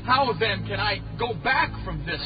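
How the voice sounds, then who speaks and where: A man's voice: high voice, animated, unhurried, dull audio. A man playing an earnest, steadfast pilgrim in an audio drama, declaiming archaic lines. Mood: defiant, loyal. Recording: distant mic under loud music. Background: loud music.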